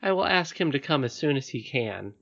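A man voicing a dignified little princess girl from a storybook, speaking quietly, politely and firmly. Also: medium pitch, very animated, a little fast, vocal fry, highs cut off, heavily acted. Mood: calm, firm. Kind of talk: storybook read-aloud character voice.

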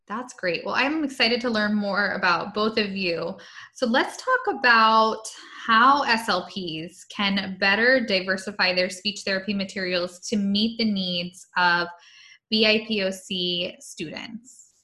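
A woman hosts a casual podcast interview, her voice bright and tense. She responds warmly to her guests with quick affirmations. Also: medium pitch. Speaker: podcast host.

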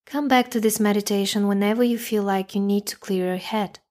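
A woman leads a guided meditation in a mid-pitched voice. Her delivery is calm and measured, leaving long pauses between short, simple instructions.

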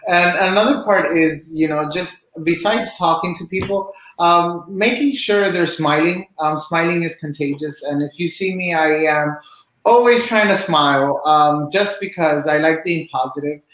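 A young man from Cuba answers interview questions in a friendly, chatty way, with lots of ums. His voice sits fairly high for a man. The room is echoey. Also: a little slow, a bit bright. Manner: upbeat, chatty, many fillers. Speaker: bartender and real estate agent.

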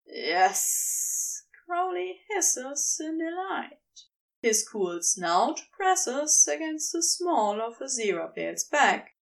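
Slow, high-voiced woman narrating fiction. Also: far from the mic. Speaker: podfic reader.

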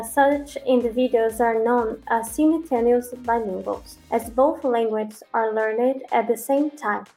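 Brazilian woman, podcast guest, measured academic delivery, slightly high voice. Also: mellow, low-quality recording. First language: Brazilian Portuguese. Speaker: podcast guest, language researcher. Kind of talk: podcast interview answers.

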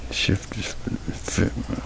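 A nineteen-year-old man musing in a freestyle monologue, slangy and profane, sometimes dropping to a whisper. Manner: slangy, profane, slow reflective talk, drops into whispers. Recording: noisy background ambience.

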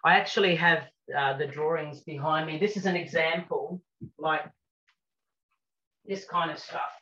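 A woman's voice: low voice, steady tone, a little slow, a bit bright. A woman guest on a casual interview, chatting in a rambling way with many fillers over a video call. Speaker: guest artist and cabaret singer. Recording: lo-fi lockdown call audio.

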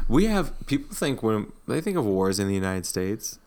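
A man's voice: lowish voice, sing-song, moderate pace, darkish tone. A man co-hosting a casual podcast chat, talking in a rambling, opinionated way with many restarts.

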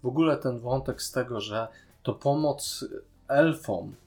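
A man with a medium-pitched voice talks as a podcast co-host. His delivery is casual and conversational, as in a relaxed discussion of a series. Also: measured pace, faint music behind.

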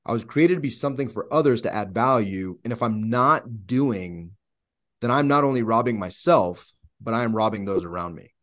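A man's voice: lowish voice, animated, normal speed, a bit bright. A man co-hosting a podcast, speaking in a reflective, earnest way about faith. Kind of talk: casual podcast conversation.

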